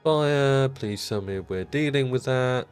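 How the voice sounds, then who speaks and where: A man's voice: medium pitch, slow pace, soft background music. A man streaming a city-building game, chatting casually and rambling, his nose blocked up because he just rolled out of bed.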